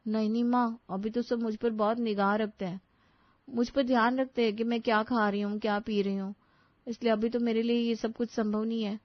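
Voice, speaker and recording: A woman's voice: a little high, level tone, a little fast, dry recording, close to an everyday voice. A timid young bride from a storybook, acted by a woman narrator, polite and a little wistful. The sound is very dry and slightly muffled.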